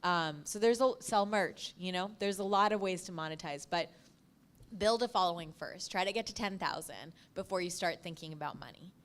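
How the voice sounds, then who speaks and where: A woman's voice: fairly low pitch, moderate pace. A woman podcast producer on a panel, giving informal advice in a practical, instructive way.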